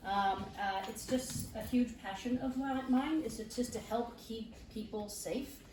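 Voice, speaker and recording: A woman's voice: a little high, a little slow. Chatty woman speaking far from the mic. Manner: chatty, with many fillers and restarts. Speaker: identity theft specialist. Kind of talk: speaking to a live group. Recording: roomy, distant mic, clear background noise.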